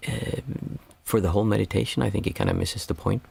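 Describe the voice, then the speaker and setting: A hoarse man with a raspy, worn voice, guiding a group meditation in a calm, unhurried way. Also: fairly low pitch. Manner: calm, unhurried counting of breaths. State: hoarse, losing his voice. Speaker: meditation teacher.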